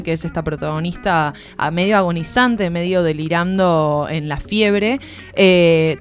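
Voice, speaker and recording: A woman with an Argentine accent giving an informal, explanatory book review as a columnist on a radio show. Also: mid-range voice. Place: radio studio. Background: music.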